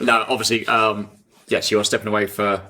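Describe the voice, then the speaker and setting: A man co-hosting a podcast, bantering in a lively, scattered way. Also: lowish voice, brisk. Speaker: podcast co-host. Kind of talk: casual podcast chat.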